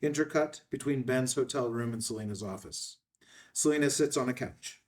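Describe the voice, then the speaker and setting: A man's voice: medium pitch, normal speed, a little mellow, recorded from a distance. Male reader for stage directions, middle register, recorded a bit away from the mic. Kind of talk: scripted narration of screenplay directions.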